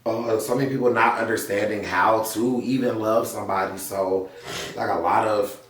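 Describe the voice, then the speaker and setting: A man's voice: fairly low pitch, steady tone, moderate pace, a bit bright, reverberant, distant mic. A man co-hosting a casual podcast, laid-back and teasing, often answering in short replies. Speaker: podcast co-host.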